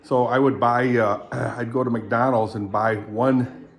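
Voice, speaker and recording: A man in his seventies, born in Indonesia, talking in a rambling, halting way, full of fillers and frequent throat clearing. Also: medium pitch, steady tone. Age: 70s. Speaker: vlogger. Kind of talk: rambling casual monologue.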